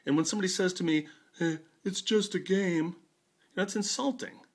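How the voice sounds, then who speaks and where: A man's voice: sits high, moderate pace. A man in his fifties with an American accent gives a conversational personal monologue in a wry, emphatic way. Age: 50s. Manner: wry, emphatic, rhetorical questions. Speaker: video blogger.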